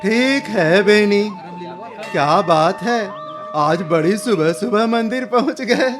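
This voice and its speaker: An actor playing an older, opinionated village uncle in a radio drama, measured, wistful and moralizing. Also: male voice, sits high, lively intonation, music in the background. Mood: friendly. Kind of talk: Hindi radio drama acting.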